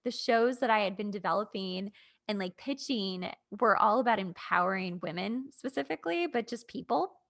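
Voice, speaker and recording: Woman, mid-range pitch with noticeable vocal fry, casual conversational podcaster who rambles through asides and quoted dialogue. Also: animated, moderate pace, a bit bright. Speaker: podcast host and aspiring coach. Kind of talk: casual chat.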